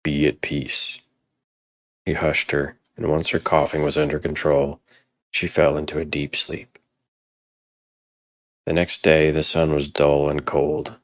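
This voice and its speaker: A man narrating a scripted dramatic story in a solemn, measured storytelling manner. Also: extremely low pitch, little pitch movement, no echo, clean studio sound.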